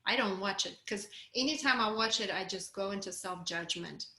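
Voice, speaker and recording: A woman's voice: mid-range voice, normal speed, pushed and bright, reverberant. A woman with a Russian accent coaching a group on a video call, candid and encouraging. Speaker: course coach.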